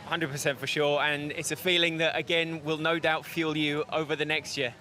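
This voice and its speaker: A man's voice: fairly high pitch, level tone, moderate pace, sharp tone, a little hiss or hum. A man doing casual post-race interviews as a sports reporter outdoors.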